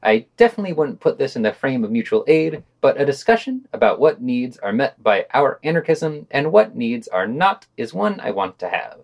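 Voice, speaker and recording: A man's voice: mid-range voice, very animated, moderate pace, gravelly, few pauses, a little mellow. A man hosting a podcast, reading written reviews with wry, skeptical asides. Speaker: podcast host.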